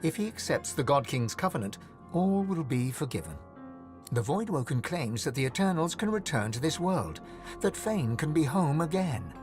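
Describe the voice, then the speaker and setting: A solemn fantasy game narrator with a slightly high male voice and a very animated line. He tells the adventure dramatically, addressing the listener directly, over clear background music. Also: normal speed. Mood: grave, suspenseful.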